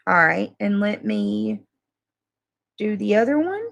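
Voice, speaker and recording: Exasperated woman with a creaky, dry-sounding voice. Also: mid-range voice, very animated, slow pace, no echo, highs cut off. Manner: exasperated, defensive, emphatic; coarse, swearing. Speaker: livestream host addressing subscribers and moderators. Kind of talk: casual unscripted livestream monologue.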